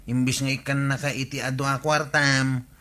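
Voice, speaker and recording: A man plays a caring uncle in a radio comedy drama. He has a bright, clear-cut voice and a gently scolding, advising manner. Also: medium pitch, flat delivery, moderate pace, close to an everyday voice.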